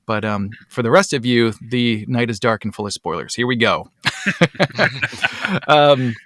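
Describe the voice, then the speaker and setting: A man hosting a podcast, talking casually with lots of ums and quick asides. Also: medium pitch, animated, fairly bright tone, no room sound, clean studio sound. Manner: chatty, many ums, rising asides. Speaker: podcast host. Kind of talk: casual chat.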